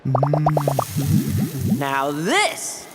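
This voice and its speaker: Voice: medium pitch, very slow, in a large hall, a little hiss or hum. An actor voicing a playful, teasing young knight in a video game, with a breezy, theatrical manner. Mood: teasing, menacing.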